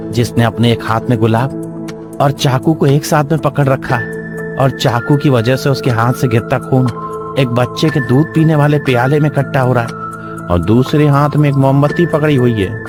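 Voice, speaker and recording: A man plays a plain-spoken watchman in an audio story. His voice is medium in pitch, with a rustic, matter-of-fact delivery. Music is clearly present underneath. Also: moderate pace, lightly acted. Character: plain-spoken male watchman, unimpressed and obliging. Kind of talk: dramatic audio story acting.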